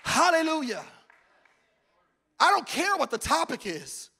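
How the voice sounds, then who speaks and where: A high, bright-voiced man preaches to a congregation from a church stage, holding his mic close. He surges into shouted calls and then drops to soft, hushed confession. He is a pastor in his forties. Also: very animated, a little slow, gravelly, some chatter behind. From United States. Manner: swings from shouted preaching to hushed intimate talk. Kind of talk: sermon. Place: large church stage with audience. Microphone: handheld mic.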